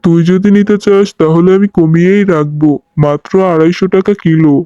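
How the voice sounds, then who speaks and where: A man acts out a grumpy tiger shopkeeper in a cartoon, boastful and dismissive. The voice is fast and fairly high for a male, with a dull, lo-fi sound. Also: mellow, clear, resonant tone, no room sound, lightly acted. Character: gruff, impatient male tiger fruit seller. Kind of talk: children's cartoon dubbing.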